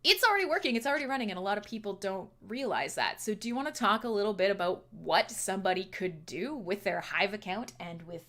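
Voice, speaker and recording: A woman's voice: medium pitch, lively intonation, fast talker, hardly any pauses, a bit bright. A Canadian woman hosting a casual livestream chat, playful and chatty, laughing often as she talks. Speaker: livestream host.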